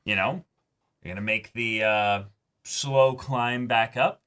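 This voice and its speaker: A man co-hosting a reaction show in casual chat, expressive and given to exclaiming. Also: mid-range voice, slow pace, smooth.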